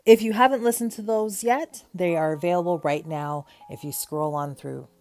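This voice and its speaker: A woman's voice: lowish voice, normal speed, faint music behind. A woman hosting a casual podcast interview, bubbly and laughing often, using affectionate pet names. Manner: bubbly, frequent laughter, warm affectionate address. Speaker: podcast host.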